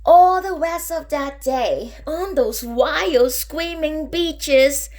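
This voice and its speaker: A woman reading a rhyming picture book aloud for children, with expressive, dramatic emphasis. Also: sits high, extremely slow. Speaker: children's storybook reader.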